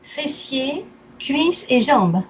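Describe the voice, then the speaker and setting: A woman's voice: high-pitched, very animated, drawn-out words, poor audio. A woman speaking as a client in a casual consultation, listing things item by item in short phrases. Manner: itemizing, in short clipped phrases. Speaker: therapy client. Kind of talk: casual recounting of health complaints. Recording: faint background noise.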